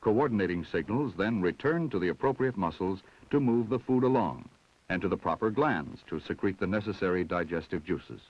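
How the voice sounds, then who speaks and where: A man's voice: low voice, expressive. Man narrating an old science film. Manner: measured, explanatory. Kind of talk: scripted educational film narration. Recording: dull vintage 16mm film soundtrack.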